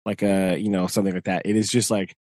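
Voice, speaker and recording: A man's voice: low voice, little pitch movement, quick pace, a little mellow, dry recording. A man co-hosting a podcast in casual chat, loose and full of fillers, often laughing. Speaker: podcast co-host.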